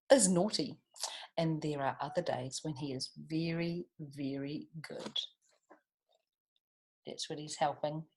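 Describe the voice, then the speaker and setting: A woman's voice: low-pitched, poor audio. A woman hosting a children's storytime, reading aloud animatedly and chatting to young listeners with questions and asides. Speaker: children's storytime reader and host.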